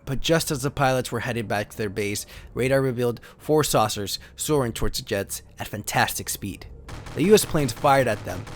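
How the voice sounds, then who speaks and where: A man's voice: medium pitch, normal speed, a little hiss or hum. A man reading a retro sci-fi story as a theatrical, solemn narrator. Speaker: host and reader.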